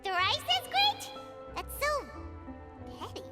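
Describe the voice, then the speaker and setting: A woman voicing a tiny floating fairy companion in a studio game, bouncy and excitable, blurting out reactions in a high squeaky voice. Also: takes it slowly, exaggerated voice. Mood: teasing. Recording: game soundtrack music behind.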